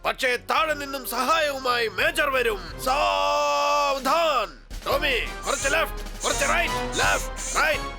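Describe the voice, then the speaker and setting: A man's voice: extremely high pitch, measured pace, creaky, full character voice. A man voicing a pompous army major who barks orders self-importantly in a children's cartoon. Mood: commanding. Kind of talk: dubbed children's cartoon acting. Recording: loud music behind the voice.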